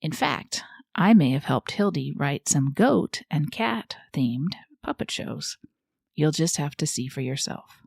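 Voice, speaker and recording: A woman's voice: low voice, lively intonation, unhurried, creaky, darkish tone, husky, no room sound, clean studio sound, heavily acted. A woman playing a fussy, easily indignant goat reporter who hosts a scripted podcast in a prim, chatty, theatrical way. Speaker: podcast host.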